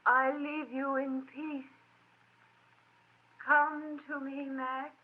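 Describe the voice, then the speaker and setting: A woman's voice: sits high, level tone, drawn-out words. An actress playing a solemn, tender yet vengeful young woman's ghost in an old radio horror drama. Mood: solemn, serene. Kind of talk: 1940s radio drama acting. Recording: tinny, noisy vintage radio recording.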